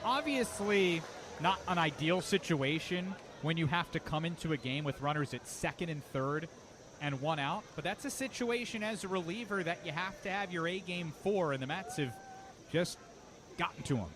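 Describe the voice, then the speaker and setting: Man, high voice, radio baseball play-by-play, conversational with excited calls, noisy outdoor ballpark background. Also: moderate pace. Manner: conversational between pitches, excited calls on action. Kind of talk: live radio sports commentary. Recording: live ballpark broadcast with loud background noise. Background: outdoor ballpark ambience.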